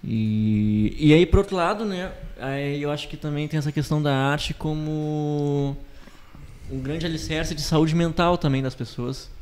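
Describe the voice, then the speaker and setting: Mellow-voiced young man. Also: fairly high pitch, measured pace. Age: young adult. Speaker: podcast host, music teacher. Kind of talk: casual podcast chat. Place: small indoor podcast studio. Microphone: close studio mic on a boom arm.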